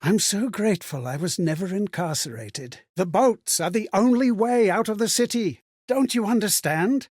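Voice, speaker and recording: A man's voice: high voice, expressive, normal speed, few pauses, darkish tone, husky, no room sound, clean studio sound. A man playing an earnest, sorrowful male companion in a game, who relives other people's memories in reflective, theatrical monologues. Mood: earnest, troubled, then desperate, grim. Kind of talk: dramatic game voice-over monologue.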